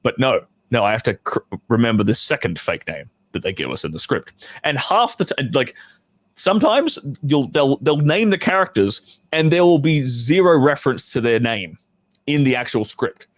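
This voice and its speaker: A man's voice: medium pitch, lively intonation, normal speed, no echo. Casual man chatting on a dry-sounding livestream. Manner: informal, bantering, mildly sweary, thinking aloud. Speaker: adult film actor reading a script to viewers. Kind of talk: casual livestream chat with script reading.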